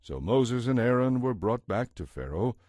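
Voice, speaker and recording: A man's voice: medium pitch, big pitch swings, moderate pace, a little mellow, no room sound, studio quality. A man narrating a dramatized Bible story as a solemn storyteller.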